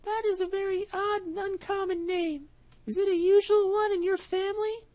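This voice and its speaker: A tiny, trusting storybook mouse with a squeaky, excitable voice. It sits very high above her own voice, loud and bright with eagerness. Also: normal speed. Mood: curious.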